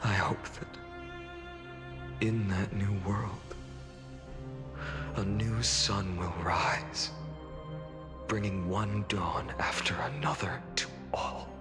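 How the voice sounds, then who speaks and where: A low-voiced, selfless game hero. He speaks slowly, hushed and earnest, in long reverb over loud music. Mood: earnest, hopeful. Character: solemn, selfless male hero giving a hopeful farewell. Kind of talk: video game story voice acting.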